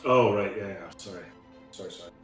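A man's voice: low voice, brisk, recorded from a distance. A man chatting casually as a tabletop game player, with exasperated asides. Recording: very echoey room. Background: music behind the voice.

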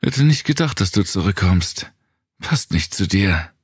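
A man's voice: deep voice, very animated, a little slow, slightly rough, no echo, clean studio sound, pitched above the performer's own voice, heavily acted. A man voicing a weary, blunt, sardonic wounded woman survivor in an audiobook. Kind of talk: audiobook character dialogue.

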